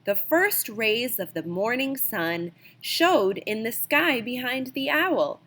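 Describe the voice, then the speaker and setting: A woman reading a children's picture book aloud as an expressive storyteller, with dramatic emphasis. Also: a little high, slow. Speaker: storybook reader.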